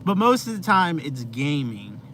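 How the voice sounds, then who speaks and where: Laid-back young man, slow drawl. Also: fairly high pitch, big pitch swings, a bit bright. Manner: laid-back, rambling, heavy use of "like". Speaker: live stream host. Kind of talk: casual live stream chat with viewers. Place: inside his van. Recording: noisy vehicle ambience.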